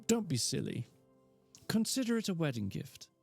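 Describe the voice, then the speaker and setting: A man's voice: a little high, very animated, normal speed, vocal fry, darkish tone, slightly rough, faint music behind, pitched above the performer's own voice, full character voice. A man reading aloud and voicing a confident, playful young woman who is an actress. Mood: breezy. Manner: playful, reassuring.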